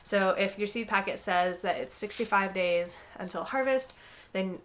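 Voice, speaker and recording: A young woman talks casually to her viewers, sounding a little stuffed up from a cold. She explains things in an easygoing, chatty way, dotted with "um" and "like", and her pitch sits right in the middle for a woman. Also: moderate pace. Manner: chatty, frequent "um" and "like", conversational. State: congested from a cold. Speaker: gardening vlogger. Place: indoors, with some segments recorded outdoors in a garden.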